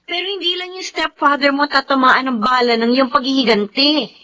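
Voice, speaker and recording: A woman's voice: sits high, a little fast, bright, forceful tone. A Filipino actress playing a worried, cautioning young female friend in a radio drama. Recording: dull lo-fi radio sound.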